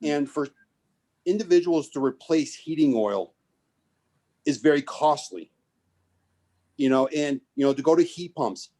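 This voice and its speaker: A man with a slightly higher voice voices his worries at a local meeting. He restarts and repeats words, then speeds up to press his case. He comes across as earnest. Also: animated, mellow. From Maine. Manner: earnest, repeats himself, quickens when pressing a point. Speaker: resident making public comment. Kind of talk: public comment at a town meeting.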